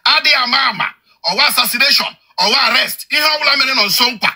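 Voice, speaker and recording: A man's voice: very high voice, fast talker, bright, forceful tone, breathy edge. A man who works as an Igbo-language broadcaster, making an urgent, repetitive appeal that switches between Igbo and English.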